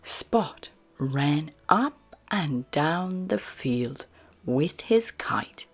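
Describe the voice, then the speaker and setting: A woman reads a bedtime story very slowly, her pitch rising and falling in big, lively swoops. Her voice is soft and breathy. Faint music plays underneath. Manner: slow, soft, very expressive reading. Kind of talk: children's read-aloud narration.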